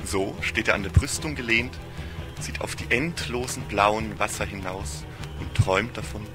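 A man's voice: fairly low pitch, moderate pace, music in the background. A man narrating a literary audio story in a solemn, ornate fairy-tale storytelling manner.

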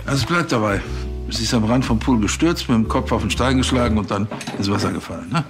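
A man's voice: fairly low pitch, quick pace, over music, close to an everyday voice. A man playing a fussy, flustered police officer in a TV crime comedy, colloquial and hesitant. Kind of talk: scripted TV comedy crime drama acting.

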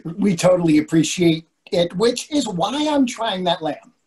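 A man's voice: high voice, expressive, a little slow, darkish tone. A man acts as a hungry male tourist in a stage comedy. He is dry and placating, agreeable with an impatient edge, in an everyday voice. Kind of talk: readers theatre comedy acting. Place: indoors on a video call. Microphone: video call microphone.

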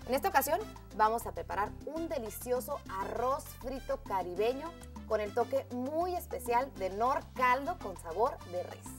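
A woman with a fairly high voice hosts a cooking demonstration, walking the viewer through each step in an upbeat, friendly way. Faint music plays under her voice, with a pan sizzling nearby. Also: expressive, moderate pace. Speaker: cooking show host.

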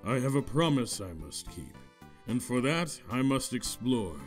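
An earnest, courteous beetle explorer voiced by a man who speaks slowly with a lively rise and fall. Soft music plays in the background. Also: medium pitch. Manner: polite, earnest, slightly formal. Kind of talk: fan dub of a video game.

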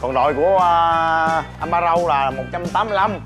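A man's voice: fairly high pitch, a little fast. A man in his thirties hosting a comic family game show, teasing the others and reading out tasks in a playful way. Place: indoors in a large shop or showroom. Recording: music bed under the speech. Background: loud background music.

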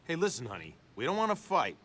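An actor plays a cocky, flippant fighter in a video game, talking casually and with sarcasm. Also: male voice, a little high, wildly swooping pitch, quick pace, a bit bright, a little hiss or hum. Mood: sarcastic. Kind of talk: video game cutscene acting.

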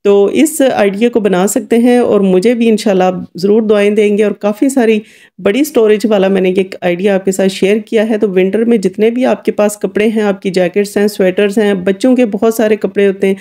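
A woman hosts a craft tutorial. Her voice is mid-pitched and mellow, with a noticeable creak. She explains each step informally and chattily. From Kashmir. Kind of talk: informal step-by-step DIY voice-over.

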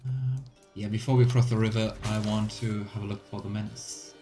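A man's voice: lowish voice, fairly even intonation, fairly quick, over music. A man in his thirties giving casual game commentary as a streamer, musing aloud to himself. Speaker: let's play streamer. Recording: game ambience noise behind voice. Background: video game ambience.

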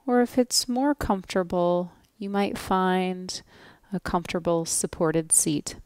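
Slow, smooth-voiced woman guiding relaxation. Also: fairly low pitch, no room sound, clean studio sound. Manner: soothing, gentle, unhurried instruction with long pauses. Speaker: yoga nidra instructor. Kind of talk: guided relaxation meditation.

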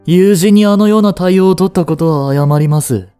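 音声ドラマで、丁寧な口調で感情の激しい、執着に狂っていく若い執事を演じる男性。. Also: male voice, high voice, normal speed, dark tone, smooth, no echo, soft background music, lightly acted. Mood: pleading, hurt. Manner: formal, polite servant speech, intensely emotional. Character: devoted young male butler turning obsessive and unhinged. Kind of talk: Japanese romantic situation voice drama.

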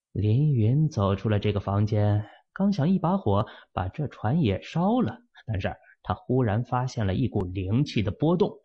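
A man narrating a fantasy novel as an audiobook, in a steady, measured storytelling manner, in a muffled lo-fi recording. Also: on the low side, breathy edge.

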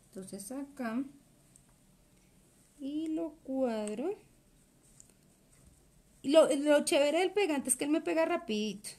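A woman's voice: sits high, a little mellow. A woman, chatting through a live sewing class while she works at a table. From Colombia. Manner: chatty, explains while working with her hands. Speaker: sewing instructor. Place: indoor workroom at a cutting table.

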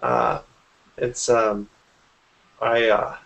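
A man's voice: fairly low pitch, big pitch swings, very slow, gravelly, a bit bright, poor audio. A man in his forties, a graphic artist, casually explaining his work in an interview over a video call from a room at home. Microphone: computer mic on a video call.

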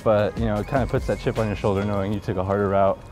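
A man's voice: fairly low pitch, very fast, over music. A young man, a college baseball player, chatting casually in an interview while walking outdoors on a campus. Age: young adult. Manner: relaxed, laid-back, lots of fillers.

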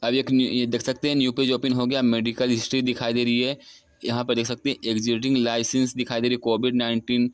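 A man's voice: medium pitch, level tone, fast talker, dry recording. A young man of about twenty, walking through a phone app step by step in an unscripted tutorial. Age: young adult. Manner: step-by-step, repetitive narration. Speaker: tutorial host. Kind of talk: app screen-recording walkthrough.

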